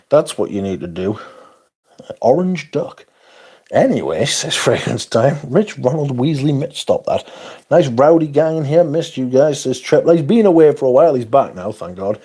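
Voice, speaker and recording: A man's voice: mid-range voice, mellow, husky. A man hosting a livestream, reading out chat and bantering in a sweary, sarcastic way.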